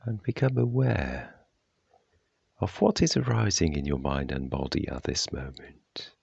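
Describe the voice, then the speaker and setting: A man guiding a body scan meditation with gentle, unhurried, measured phrasing and long pauses. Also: very deep voice, big pitch swings, vocal fry, slightly rough, dry recording, lo-fi sound. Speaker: meditation guide.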